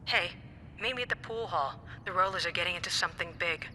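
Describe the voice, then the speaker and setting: A woman's voice: on the low side, quick pace, bright, forceful tone, a little hiss or hum. An actress playing a tough, defiant, foul-mouthed young gangster's girlfriend in a video game, blunt and streetwise. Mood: curt. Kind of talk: video game cutscene acting.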